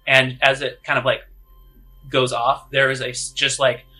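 A man's voice: medium pitch, little pitch movement, normal speed, bright, forceful tone, slightly rough. A man working as game master, improvising conversational, descriptive narration for a tabletop roleplaying game.

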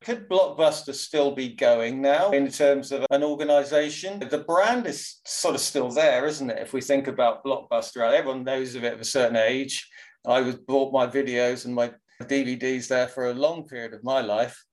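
A man's voice: medium pitch, normal speed, low-quality recording. Inquisitive male interviewer. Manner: inquisitive, builds questions in layers. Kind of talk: interview questions.

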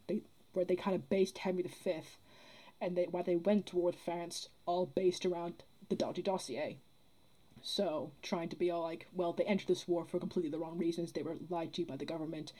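A woman's voice: fairly low pitch, steady tone, normal speed, dark tone, low-quality recording. A young woman in a casual discussion, earnest and rambling, repeating phrases as she builds her argument. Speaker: podcast guest, theatre producer.

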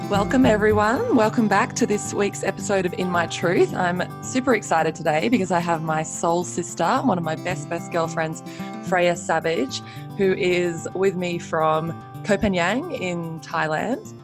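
A woman hosting a podcast, speaking earnestly and reflectively, a little croaky in the early morning. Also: on the low side, measured pace, music in the background. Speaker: podcast host. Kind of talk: scripted intro then casual chat.

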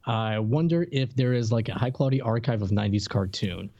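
A man's voice: lowish voice, brisk, warm tone, no room sound, lo-fi sound. A man co-hosting a podcast, chatting informally and a little hesitantly as he comments on things. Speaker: podcast co-host. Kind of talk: casual pop-culture podcast chat.

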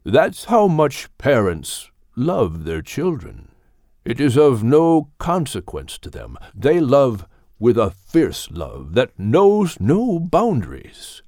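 Guy doing a wise fatherly old animal for a storybook, gentle but firm, takes his time, voice rises and sinks a lot. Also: medium pitch, wildly swooping pitch, slow, no room sound, clean studio sound, exaggerated voice. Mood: earnest, reassuring. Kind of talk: audiobook character voice.

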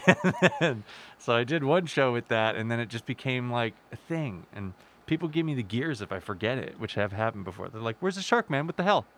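A young man with a deep voice, a musician guest on a podcast, telling stories in an animated way and laughing often. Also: brisk, a little hiss or hum. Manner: animated storyteller, laughs often, jumps into high voices for quotes. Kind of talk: casual podcast chat.

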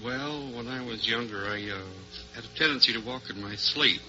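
A man's voice: mid-range voice, normal speed, bright, forceful tone, faint music behind. A man answering plainly as a contestant on an old-time radio quiz show. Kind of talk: plain anecdote-telling in a radio interview. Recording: noisy, dull vintage radio recording.

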